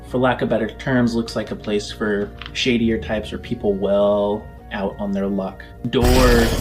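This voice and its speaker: A man narrating a tabletop space game as game master, improvising scene descriptions in halting, informal phrases. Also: fairly low pitch, a little mellow. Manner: halting descriptions padded with "like" and "kind of".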